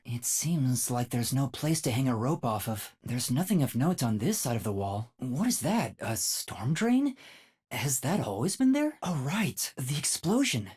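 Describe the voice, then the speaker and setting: An earnest, boyish young detective from a video game. His voice is light and husky, with lively swings in pitch, and he reasons things out carefully yet sounds easily rattled. The recording is dry and close. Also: no room sound.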